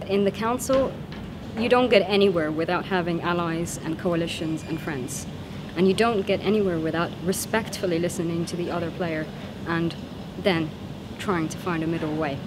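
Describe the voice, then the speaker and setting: A woman's voice: fairly low pitch, moderate pace, background noise. A young woman from Luxembourg answering interview questions about a student simulation, earnest and thoughtful. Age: young adult. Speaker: simulation participant. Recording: music playing underneath.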